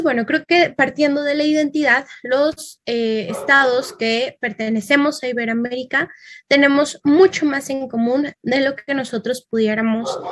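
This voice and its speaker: A woman's voice: high voice, a bit bright. A Mexican woman giving an informal lecture, talking conversationally and thinking aloud with small fillers.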